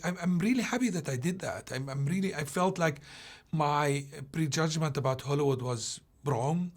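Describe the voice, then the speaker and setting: A film director from Palestine answers questions in a somewhat high voice. He talks haltingly, stopping and restarting as he hunts for the right word. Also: darkish tone. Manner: halting, searching for words, frequent restarts. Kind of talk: interview answers.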